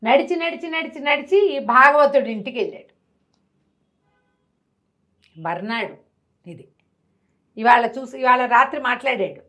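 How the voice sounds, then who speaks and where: A woman with a somewhat high voice tells a devotional story. She narrates in a lively, expressive way and slips into the characters' voices as she goes. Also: unhurried, long pauses. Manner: animated, acts out the characters' voices.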